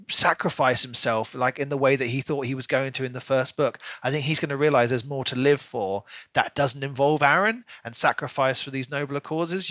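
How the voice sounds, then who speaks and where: Guy on a book podcast, punchy bright voice, chatty and playful, really dry close recording. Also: mid-range voice, dry recording. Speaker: podcast co-host. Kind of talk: casual book discussion chat.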